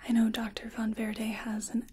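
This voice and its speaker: A woman speaking softly in a breathy whisper, gentle and unhurried, role-playing a reiki practitioner in an ASMR personal attention style. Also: on the high side, monotone. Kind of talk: soft-spoken ASMR personal attention roleplay.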